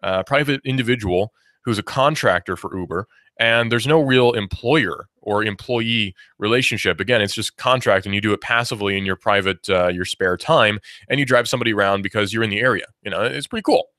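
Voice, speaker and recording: A man's voice: on the low side, fairly quick, bright, forceful tone, no room sound, clean studio sound. A man in his twenties hosting a radio talk show, rambling through his opinions with fillers and restarts. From United States. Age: 20s. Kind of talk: casual talk radio monologue.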